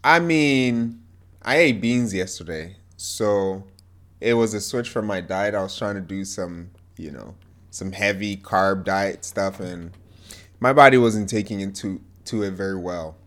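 A man with a somewhat low, congested voice talks through a casual podcast chat. His nose sounds stuffed up, and he keeps a joking, teasing tone. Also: measured pace, fairly bright tone. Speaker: podcast co-host.

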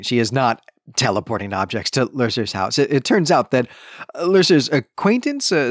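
A man's voice: medium pitch, no echo, studio quality. A man hosting a podcast, explaining a book in a conversational way and checking in with the listener as he goes. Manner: conversational explaining, tags points with "right?". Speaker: podcast host. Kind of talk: book discussion podcast, explanatory plot summary.

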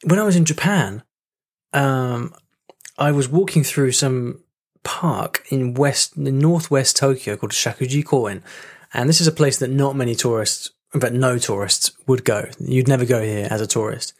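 A man's voice: mid-range voice, normal speed. A man hosting a solo podcast, talking casually with many fillers, restarts and small laughs. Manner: chatty, self-interrupting with fillers and laughs. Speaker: podcast host.

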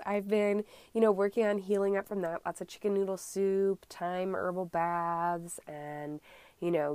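Young female podcast host with a cold: congested, a bit scratchy, lower voice, chatty with ums, dry close recording. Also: darkish tone, no echo. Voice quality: slight scratchiness. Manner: chatty, many ums, friendly explaining. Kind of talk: casual solo podcast talk.

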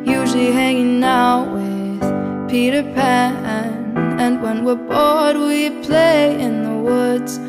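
A woman singing a gentle folk-pop ballad over guitar music. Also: on the high side, steady tone, takes it slowly. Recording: music under the voice.

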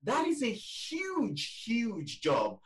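A man's voice: very high voice, wildly swooping pitch, drawn-out words, far from the mic. A man hosting a podcast interview in an enthusiastic, emphatic, conversational manner.

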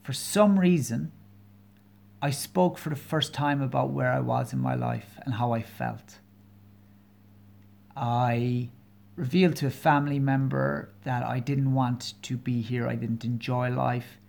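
A man of forty with a Kerry Irish accent, hosting his own podcast alone and talking in an earnest, halting way with many pauses and fillers. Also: mid-range voice, steady tone, gravelly, a little mellow. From Ireland. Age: 40s. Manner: candid, openly personal. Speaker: podcast host. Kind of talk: solo podcast monologue.

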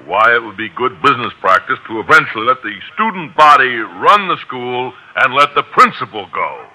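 A man's voice: medium pitch, very animated, normal speed, pushed and bright, full character voice, poor audio. A man playing a breezy, self-important businessman with an American accent and pompous patter in an old radio comedy. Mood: self-satisfied. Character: self-important, breezy businessman turned overgrown high-school student. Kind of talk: 1950s radio comedy acting.

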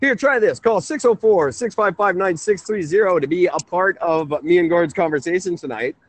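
A man hosting a conversational call-in radio show, halting and often interrupting himself. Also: high voice. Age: 30s.

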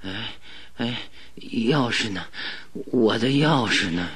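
An actor playing a gravely ill, stubborn detective in a radio drama, with a weak, breathy, halting voice. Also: male voice, medium pitch, animated, fairly bright tone, exaggerated voice. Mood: confused, weak. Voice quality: breathy, raspy, weak whisper. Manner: halting, laboured, pleading.

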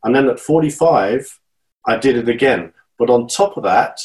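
A man's voice: mid-range voice, expressive, moderate pace, darkish tone. A man in his fifties from Great Britain, a guest talking in an interview, earnest and encouraging, often repeating and restarting his words. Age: 50s. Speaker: guest, channel swimmer. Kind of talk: casual interview talk.

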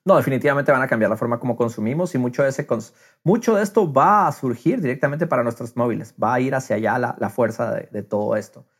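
A man's voice: mid-range voice, fast talker, slightly rough. A middle-aged man in casual podcast conversation, animatedly acting out quotes and dropping English phrases into his talk. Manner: mixes English phrases into speech.

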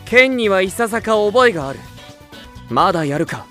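A bold young gentleman in a radio drama. He is curt and confident, with lively intonation. Music plays behind him. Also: medium pitch, normal speed. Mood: cool, defiant.

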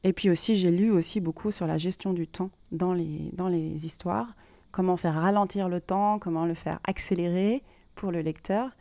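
A woman hosting a podcast, explaining things informally in a monologue and pausing as she thinks aloud. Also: on the low side, mellow, dry recording. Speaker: podcast host and creative writing workshop leader.

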